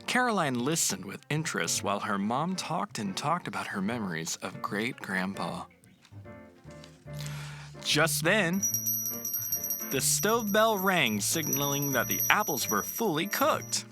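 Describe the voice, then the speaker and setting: Guy reading a kids storybook, lively rises and falls, music in the background. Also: medium pitch, measured pace, gravelly. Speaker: narrator reading a picture book. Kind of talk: storybook read-aloud.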